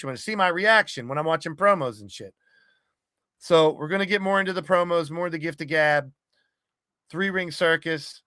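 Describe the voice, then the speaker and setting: Male podcast host, higher-pitched bright forceful voice, blunt, sweary, rambling, casual monologue, dry close sound. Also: no room sound. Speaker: podcast host and wrestling game streamer. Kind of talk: casual monologue to an online community.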